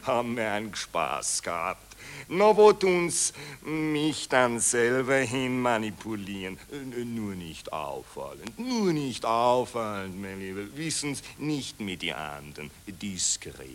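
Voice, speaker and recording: An actor playing a vain, dithering Austrian general in a satirical radio play, with a hemming, stammering, pompous delivery. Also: male voice, on the low side, big pitch swings, slow pace, hardly any pauses. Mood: coy vanity. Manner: pompous, drawling, falsely modest. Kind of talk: satirical radio drama acting.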